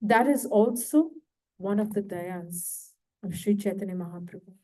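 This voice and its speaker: A woman giving a devotional lecture to a temple audience, slow and often asking questions. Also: mid-range voice, darkish tone. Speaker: guest lecturer. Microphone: handheld mic, failing at times.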